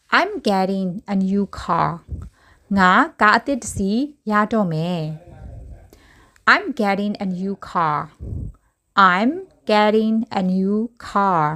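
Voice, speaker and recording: A woman teaching English phrases, repeating each slowly word by word and then giving a translation. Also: medium pitch, fairly bright tone. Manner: slow, deliberate, word-by-word repetition.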